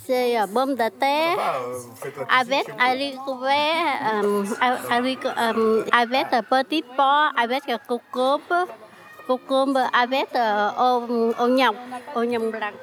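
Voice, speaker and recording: A woman learning French, reading reportage lines and listing words in halting, word-by-word speech. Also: sits high.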